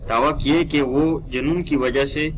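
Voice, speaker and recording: Slow-paced man lecturing over faint noise. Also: medium pitch, fairly even intonation, rough recording. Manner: measured, deliberate, instructional. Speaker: law lecturer. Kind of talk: reading statute in English and explaining it in Urdu.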